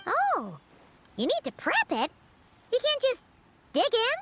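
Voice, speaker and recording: A woman voicing a tiny, childlike, bossy fairy companion in a video game, with a squeaky, excitable delivery. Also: slow, a little hiss or hum, full character voice. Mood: curious.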